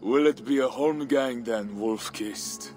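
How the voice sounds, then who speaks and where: Guy playing big grim viking warlord, middle aged, talks slow and brooding, bitter, game cutscene with music behind. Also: mid-range voice, a little mellow, close to an everyday voice. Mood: bitter, challenging. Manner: brooding, declamatory. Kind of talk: dramatic video game acting.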